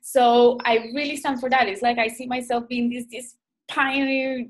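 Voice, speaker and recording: A woman's voice: on the high side, level tone, moderate pace. A woman from Rica, a doctor and guest, talking reflectively and searching for words with many restarts. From Costa Rica. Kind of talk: reflective conversation.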